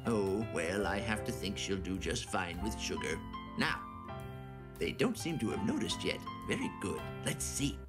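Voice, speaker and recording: An excitable old male fairy elder from a cartoon. The voice is theatrical and swoops wildly up and down, with music playing behind it. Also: low voice, unhurried. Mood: musing. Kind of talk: English anime dub acting.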